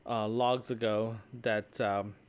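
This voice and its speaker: A man's voice: on the low side, little pitch movement, slow, smooth. Casual man rambling through an audio diary. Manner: conversational, meandering, often checking with "right?". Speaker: solo podcast host and vlogger.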